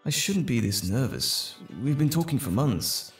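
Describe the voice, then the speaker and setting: Man acting as nervous romantic date, lively intonation. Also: mid-range voice, measured pace, soft background music. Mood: nervous musing.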